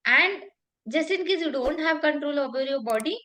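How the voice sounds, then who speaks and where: High-pitched Indian woman coaching emphatically. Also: expressive, normal speed, noisy background. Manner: emphatic, repetitive, checks in with "okay?". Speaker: public speaking coach and talk show host. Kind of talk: informal coaching conversation with anecdotes.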